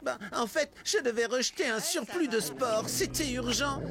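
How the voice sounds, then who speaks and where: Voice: sits high, lively intonation, moderate pace, creaky, a bit bright, faint music behind, heavily acted. A performer voicing a panicky young male fungus schoolkid in a cartoon, whiny and overwrought, explaining too much. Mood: flustered confessing. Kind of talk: animated cartoon dubbing in French.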